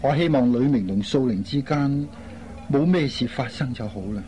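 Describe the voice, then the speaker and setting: A man's voice: medium pitch, normal speed, dark tone, natural voice. An actor playing an earnest, concerned airline crewman in a radio drama. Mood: grave, cautious. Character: earnest, concerned man, a flight crew colleague. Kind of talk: Cantonese radio drama acting. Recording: dull lo-fi recording, faint noise.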